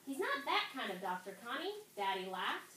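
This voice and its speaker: A woman teacher reading aloud and instructing a fifth-grade class, pausing to pose questions to her students. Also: a little high, sing-song, bright, forceful tone. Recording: roomy, distant, noisy classroom recording.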